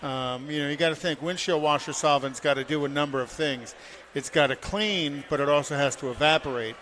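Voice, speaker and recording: Male car expert, slightly high pitch, plain-spoken, informal explaining, faint background noise. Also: a little fast, fairly bright tone, rough recording. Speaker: car care segment expert.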